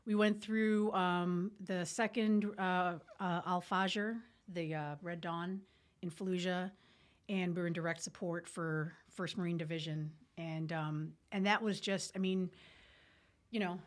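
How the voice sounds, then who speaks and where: A woman's voice: fairly low pitch, steady tone, unhurried. A woman telling a story informally as a podcast guest, candid and colloquial, with military jargon.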